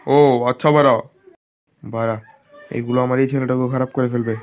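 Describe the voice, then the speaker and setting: A man's voice: mid-range voice, level tone, brisk, lo-fi sound, natural voice. A man voicing a grumpy, foul-mouthed older village father in a comic cartoon. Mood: grumbling. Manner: grumbling, crude.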